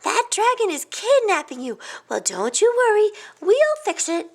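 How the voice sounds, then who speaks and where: A woman voicing an excitable, bossy female eagle in a storybook, with emphatic delivery. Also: very high voice, measured pace, voice pushed up, heavily acted. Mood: reassuring. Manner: emphatic, crying out.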